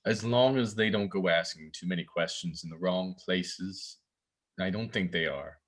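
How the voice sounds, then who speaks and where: A man reading the part of a guarded, measured police investigator at a drama table read. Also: sits low, a bit bright. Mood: guarded, serious.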